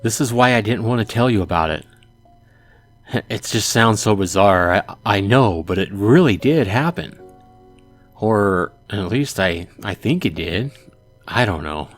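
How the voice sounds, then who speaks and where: Man as an earnest, low-voiced teenage son. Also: expressive, unhurried, faint music behind. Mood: apologetic. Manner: hesitant, earnest. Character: earnest, self-doubting teenage son telling his story. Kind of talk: visual novel character voice.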